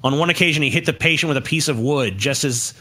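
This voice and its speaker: A man's voice: a little high, fast, bright, forceful tone. A man co-hosting a podcast, bantering casually with profanity and reading news aloud in a flippant, crude way. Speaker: podcast co-host.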